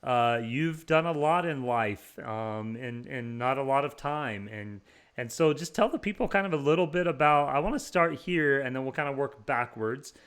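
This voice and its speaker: A man hosts an interview show in an easy, upbeat way, with a voice of ordinary middle pitch. He laughs often and fills his talk with ums and restarts. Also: expressive, moderate pace, a bit bright, smooth. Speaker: podcast host. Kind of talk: casual interview chat.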